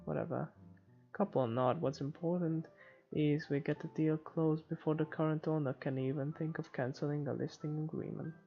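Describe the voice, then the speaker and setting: A man reading visual novel narration aloud for a playthrough, in an informal, somewhat halting way. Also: fairly high pitch, fairly even intonation, creaky. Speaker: video game let's player. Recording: slightly muffled, music underneath.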